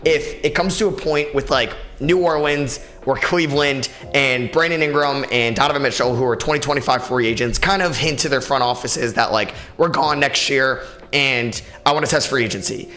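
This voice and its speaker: A young man with a raspy, tired voice, hosting a solo sports podcast in a casual, opinionated way with many fillers. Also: medium pitch, moderate pace, pushed and bright. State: tired, short on sleep. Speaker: podcast host.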